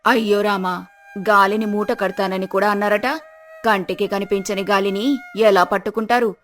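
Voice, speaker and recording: A woman's voice: mid-range voice, steady tone, moderate pace, natural voice. A woman playing a village headman's sensible wife, reproachful and exasperated with her husband in a moral story. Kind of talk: dramatised moral story dialogue. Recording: music behind the voice.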